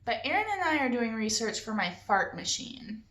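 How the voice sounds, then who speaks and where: Woman, higher-pitched voice, expressive read-aloud storyteller, children's novel narration, echoey room. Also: normal speed.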